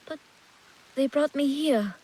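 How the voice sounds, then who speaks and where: A woman's voice: high voice, takes it slowly, mellow, low-quality recording. A woman voicing a polite, earnest young boy, the son of a feudal lord, in a game cutscene. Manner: polite and eager. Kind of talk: video game cutscene acting.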